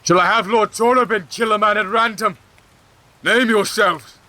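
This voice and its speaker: Man acting a stern, weary king, high bright voice. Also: steady tone, moderate pace. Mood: commanding, angry. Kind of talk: fantasy audio drama acting.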